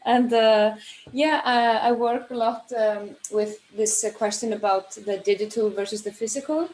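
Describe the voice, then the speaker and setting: A woman's voice: a little high, fairly even intonation, moderate pace, darkish tone, a little hiss or hum, poor audio. A woman artist from Iceland, an English speaker, describes her work over a video call with many fillers as she searches for words. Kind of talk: casual spoken introduction.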